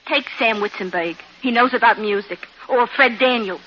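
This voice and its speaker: A woman's voice: on the high side, normal speed, natural voice. An actress playing a prim young working-class woman striving for culture, using pompous big words with affected care, in a 1950s radio drama. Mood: lecturing. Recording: muffled, noisy vintage radio recording.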